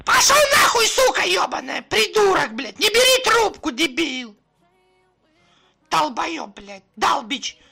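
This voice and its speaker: A man's voice: very high-pitched, big pitch swings, a little slow, creaky, sharp tone, shouting, voice pushed up, exaggerated voice. Man putting on a screeching, furious prank caller. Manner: screeching, foul-mouthed.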